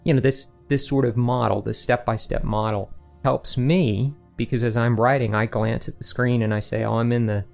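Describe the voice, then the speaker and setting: A man's voice: medium pitch, fairly even intonation, normal speed, vocal fry, hardly any pauses, darkish tone, soft background music. A man speaking as a guest author in a casual interview, explaining his process with rhetorical questions and quoted asides to himself. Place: remote online recording session.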